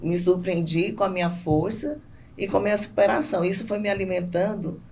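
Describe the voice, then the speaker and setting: A woman's voice: mid-range voice, fairly even intonation, a little fast. A Brazilian woman speaking conversationally and emphatically as an interview guest, often repeating herself for stress. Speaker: podcast guest, business owner. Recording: clear background noise.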